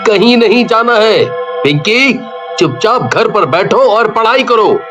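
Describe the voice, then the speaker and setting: A man's voice: sits high, animated, measured pace, over music. A man voicing a short-tempered, bullying husband and father with a harsh, dramatic manner in a cartoon story. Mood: irritated, scolding.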